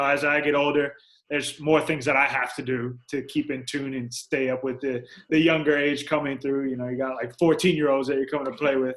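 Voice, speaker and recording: A young man with an American accent talks as a guest in a casual interview. His delivery is conversational and loosely rambling, and he slips quoted remarks into his stories. His pitch sits in an ordinary middle range for a man. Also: a bit bright, low-quality recording. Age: young adult. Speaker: professional soccer player, podcast guest.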